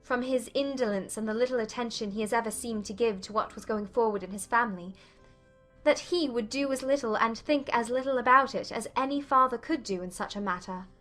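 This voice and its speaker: A woman acts an anxious young lady of a period novel, her voice high and earnest. She questions emphatically and sounds distressed and sceptical, with music behind her. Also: a little fast, a bit bright, close to an everyday voice. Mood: agitated, insistent. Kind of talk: dramatized audiobook acting of a period novel.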